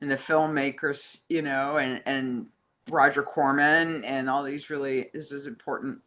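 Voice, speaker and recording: Casting: female co-host, bright crisp-edged tone, relaxed conversational delivery, reads out fan mail. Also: a bass voice, unhurried. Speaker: co-host reading listener questions. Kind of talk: casual chat and reading questions aloud.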